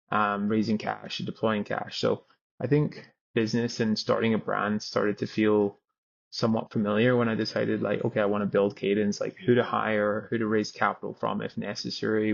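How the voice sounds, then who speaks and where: A man's voice: lowish voice, gravelly, muffled recording. A Scottish man in his thirties, a company founder telling his story in a podcast interview with frequent restarts. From Scotland. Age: 30s.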